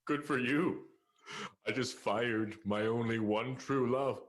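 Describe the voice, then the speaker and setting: A man's voice: medium pitch, slow, close to an everyday voice. A man playing a heartbroken, mopey vaudeville comedian, mournful and sighing, in an improvised radio comedy.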